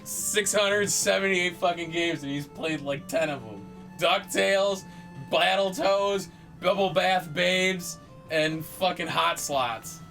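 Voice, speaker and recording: A man's voice: sits high, slow, gravelly, bright, forceful tone. A man giving casual, profane gaming banter as a show host, boisterous and teasing, with video game music behind him.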